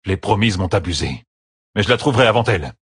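A man's voice: lowish voice, animated, quick pace, a bit bright, breathy edge, no room sound, clean studio sound, full character voice. A man voicing a fierce, determined desert warrior in an audiobook, terse and intense.

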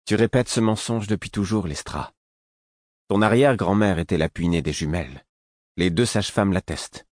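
A man's voice: deep voice, moderate pace, a little mellow, no room sound, clean studio sound, close to an everyday voice. A man voicing a proud young noblewoman, fierce and indignant, with a cutting, defiant manner, in an audiobook story.

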